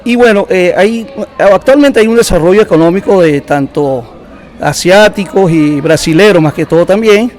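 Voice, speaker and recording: A man from the Essequibo answers questions as a guest, with a voice a little on the high side. He talks informally and hesitantly, feeling his way through each answer. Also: moderate pace, darkish tone. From Rupununi region of the Essequibo, Guyana. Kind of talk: interview answers.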